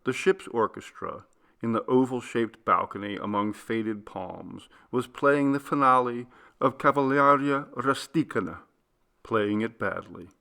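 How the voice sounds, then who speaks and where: A man reading a literary story as an audiobook, with measured, deliberate phrasing. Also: medium pitch, vocal fry, pushed and bright. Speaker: audiobook reader.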